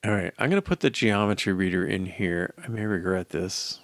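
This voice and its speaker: A man's voice: low voice, fairly quick, no room sound. An older man thinking aloud as he programs, in a casual, hesitant mutter to himself. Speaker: programmer live-coding a mobile app. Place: small indoor room at a desk.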